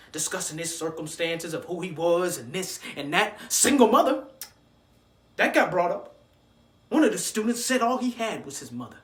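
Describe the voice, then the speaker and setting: A man's voice: high voice, reverberant. A man performing a dramatic stage monologue as an angry, hurt student addressing his absent father, with emotional delivery. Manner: emotional, building from quiet hurt to shouted rage.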